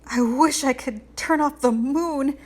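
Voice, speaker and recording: A woman's voice: high voice, takes it slowly, a little mellow, voice pushed up. A woman voicing a timid little boy turned bat in a storybook, fretful and uneasy. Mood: frightened.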